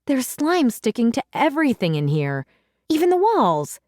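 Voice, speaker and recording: A woman voicing an exasperated, scolding mother in a children's storybook, with emphatic, drawn-out words. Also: sits high, wildly swooping pitch, a little mellow, no room sound, studio quality, voice pushed up. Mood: stern, exasperated. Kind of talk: children's storybook read-aloud.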